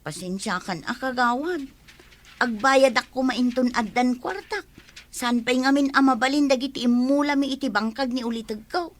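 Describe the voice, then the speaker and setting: A woman's voice: high voice, moderate pace, close to an everyday voice. A woman acting a meek, apologetic newcomer to the village, with an Ilocano accent, in a comedy radio drama. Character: apologetic young newcomer woman asking for credit.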